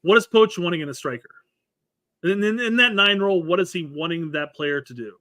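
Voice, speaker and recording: A man's voice: sits high, expressive, fairly quick, pushed and bright, no echo, studio quality. A man co-hosting a casual soccer talk show, often stumbling and repeating words as he restarts. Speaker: co-host, former striker.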